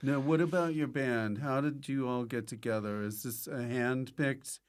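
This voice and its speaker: A man's voice: mid-range voice, normal speed, smooth. Male podcast host asking casual questions. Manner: brief interjections and questions. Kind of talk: casual chat.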